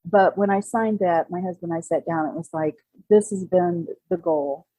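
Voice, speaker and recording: A woman in her sixties talks casually as a podcast guest. Her voice is fairly low, dark and mellow, with a husky edge, recorded dry and close. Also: moderate pace, no room sound, rough recording. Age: 60s. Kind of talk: casual interview chat.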